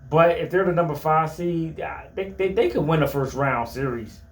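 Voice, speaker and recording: A man's voice: on the high side, moderate pace. Stammering male sports fan, roomy sound. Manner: stammering restarts, animated fan opinions. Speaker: sports show co-host. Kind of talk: casual sports chat.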